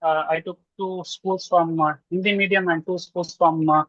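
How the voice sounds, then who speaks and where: A man from Lucknow with an Indian English accent, answering questions as a guest researcher with many pauses and restarts. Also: high-pitched, steady tone, no echo. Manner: frequent "uh" pauses and restarts, reasoning out loud. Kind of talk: webinar answers, hesitant and filler-heavy. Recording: dull, dry video call audio.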